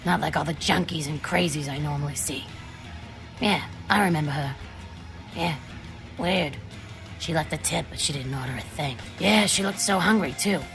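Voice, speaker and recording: A world-weary American waitress speaks low, with a heavy creak. She is laconic, folksy and kindly, a video game character over faint guitar music. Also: normal speed, fairly bright tone. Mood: wistful, kindly.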